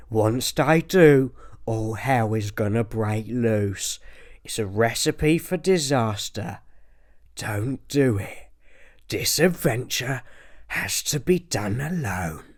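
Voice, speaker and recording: A man's voice: mid-range voice, close to an everyday voice. A man playing a stern, secretive father with a British accent and an urgent, bossy manner in an audiobook. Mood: grave warning.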